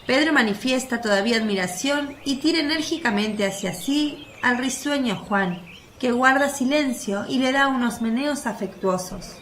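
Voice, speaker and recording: A woman's voice: fairly high pitch, normal speed, fairly bright tone, slight background noise. A woman reading scripted narration for a religious radio drama.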